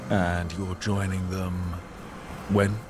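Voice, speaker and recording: An unhurried, wry, low-voiced adventurer. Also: male voice, level tone, darkish tone, faint music behind. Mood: curious. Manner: casual, plainspoken, questioning. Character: wry, easygoing male fantasy adventurer. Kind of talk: video game dialogue acting.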